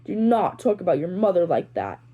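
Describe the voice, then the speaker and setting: A woman's voice: lowish voice, big pitch swings, a little fast, narrow, tinny audio. A woman voicing a falsely friendly, scolding father in an amateur fan fiction reading. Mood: angry.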